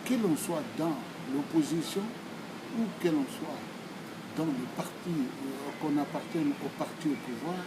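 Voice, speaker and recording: A man in his sixties with a Congolese accent, giving formal answers in a deliberate, emphatic, oratorical way, phrase by phrase. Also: a little high, heavy background noise. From Democratic Republic of the Congo. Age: 60s. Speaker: speaker answering questions.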